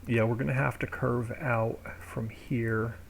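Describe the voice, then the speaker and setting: A man's voice: mid-range voice, measured pace, creaky, slight background noise. A man live-streaming, casually thinking aloud as he works through a game map build. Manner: thinks aloud while working, relaxed and rambling.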